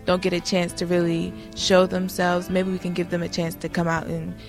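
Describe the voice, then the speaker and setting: A woman's voice: lowish voice, completely flat delivery, normal speed, over music. A teenage girl from North Carolina, speaking earnestly and hopefully. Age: teens. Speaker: camper.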